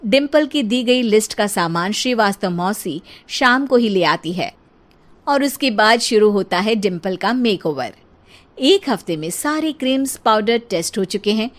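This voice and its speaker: A woman narrator telling a scripted comic family tale. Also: on the high side. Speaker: story narrator.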